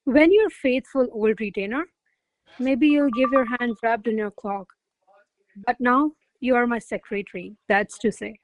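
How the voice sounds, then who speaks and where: A woman's voice: fairly high pitch, a little slow, noisy background, lightly acted. A woman playing a proud, teasing young noblewoman in a classical radio play, speaking in formal, theatrical phrases. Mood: haughty, insistent. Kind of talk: classical verse stage comedy as radio play.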